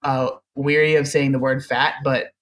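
A man's voice: medium pitch, level tone, normal speed, fairly bright tone. Chatty, self-deprecating young man. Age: young adult. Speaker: podcast guest. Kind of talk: casual storytelling interview.

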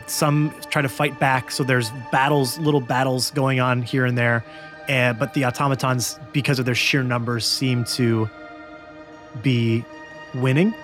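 A man's voice: medium pitch, flat delivery, a little slow, fairly bright tone. A man running a tabletop role-playing game as game master, narrating scenes and calling for rolls. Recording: music behind the voice. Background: background music.

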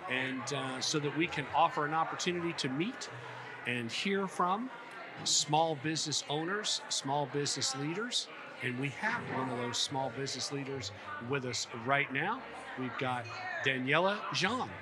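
A man hosting an on-location interview, talking in a folksy, effusive and halting way. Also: mid-range voice, lively intonation. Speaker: podcast host. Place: busy conference venue at a hotel. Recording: clear background noise on location.

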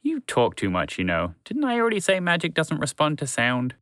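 A man's voice: on the high side, huge pitch swings, brisk, a bit bright, no room sound, studio quality, voice pushed up. A man doing a whimsical, flippant storybook horse. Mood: offhand. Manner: flippant, offhand. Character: odd, whimsical male horse, playful and blunt.

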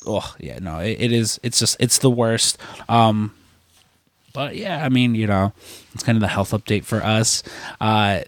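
A man's voice: on the low side, a little slow, no echo. A young man chatting casually as a podcast co-host. He is congested from a cold and rambles with lots of fillers and swearing. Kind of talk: casual chat.

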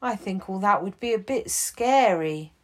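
A woman's voice: medium pitch, animated, unhurried, voice pushed up. A woman voicing a patient, kind male prison chief in a bedtime story. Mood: gentle. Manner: gentle, reassuring.

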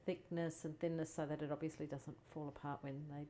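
Woman, lower register, friendly curious interviewer. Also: flat delivery, fairly quick, dark tone, a little hiss or hum. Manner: curious, chatty, lots of fillers. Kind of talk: casual interview questions.

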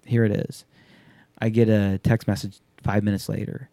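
A man's voice: on the low side, moderate pace, vocal fry, no echo. Laid-back, profane man in his thirties. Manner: loose, profane, animated storyteller acting out quotes. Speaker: podcast host. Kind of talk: casual chat.

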